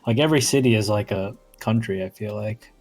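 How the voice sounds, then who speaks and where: A man's voice: lowish voice, brisk, a little mellow, soft background music. A man from the United States talking in a casual, slangy way. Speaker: talk show participant. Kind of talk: casual chat.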